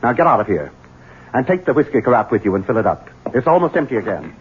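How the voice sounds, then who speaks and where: An actor playing a bitter, jumpy, hard-drinking gentleman in a 1970s radio drama, husky, curt and prone to stammering. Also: medium pitch, very animated, fast talker. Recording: muffled phone-like vintage radio broadcast.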